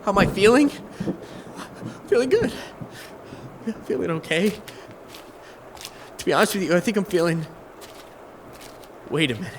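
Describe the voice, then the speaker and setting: A man acting as a desperate young survivor in a zombie audio drama. His voice is very high for a man, jittery and stammering, as he rambles on over faint background noise. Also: lively intonation, moderate pace, long pauses. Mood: shaken, rambling. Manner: stammering, frantic.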